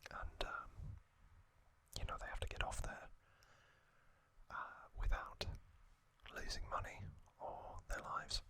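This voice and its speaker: An ASMR host whispers very slowly in a breathy, unvoiced hush. The sound is bright and crisp at the edges, and the delivery is halting, with gentle pauses between short phrases as trivia is recapped.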